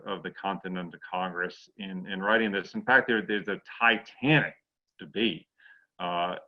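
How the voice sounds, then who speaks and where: A man giving a halting, explanatory answer as a discussion guest, often repeating words as he goes. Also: low-pitched, dull audio. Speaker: guest author.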